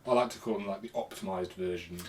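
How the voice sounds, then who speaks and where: Low-pitched man in casual podcast chat. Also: fast talker, darkish tone. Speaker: podcast host. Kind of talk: casual retro gaming chat between friends.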